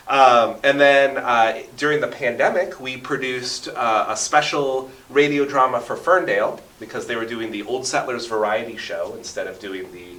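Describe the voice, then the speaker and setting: A man's voice: mid-range voice, normal speed, hardly any pauses, sharp tone. A talkative man in his thirties telling stories with enthusiasm and dramatic emphasis as a radio interview guest. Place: basement radio station studio. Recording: roomy echo, distant from the mic.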